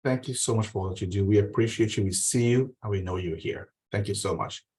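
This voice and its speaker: Host for an online town hall, man, lowish voice, effusive and welcoming. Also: fast talker. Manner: warm, effusive, repeats praise. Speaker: council president hosting town hall. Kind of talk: meeting moderation. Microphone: video call.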